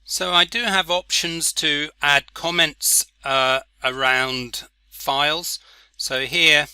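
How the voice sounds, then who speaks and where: A man casually walking through a software interface, with frequent "uh" and "um" fillers. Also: on the high side, takes it slowly, clean tone, no echo. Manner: relaxed, many "uh" and "um" fillers. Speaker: software demonstrator. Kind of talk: screen-recorded software walkthrough.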